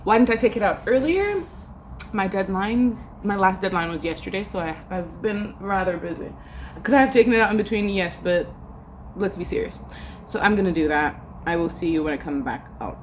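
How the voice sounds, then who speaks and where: A woman's voice: medium pitch, expressive, normal speed, a little hiss or hum. A young woman, a university student, chatting casually to her camera at home, rambling and trailing off with fillers. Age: young adult. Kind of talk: casual vlog chat to camera.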